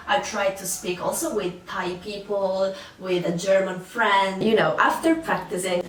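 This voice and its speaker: A woman's voice: fairly low pitch, slight background noise. A young woman from Sicily with an Italian accent, chatting casually to camera about learning languages, with many fillers and restarts. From Sicily, Italy. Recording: echoey room, voice a bit far from mic.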